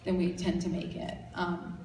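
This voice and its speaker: A woman with a low voice answering fan questions at a convention panel, rambling with lots of fillers and restarts. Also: completely flat delivery, normal speed, dark tone, slight background noise. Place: large convention hall. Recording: echoey hall, distant mic.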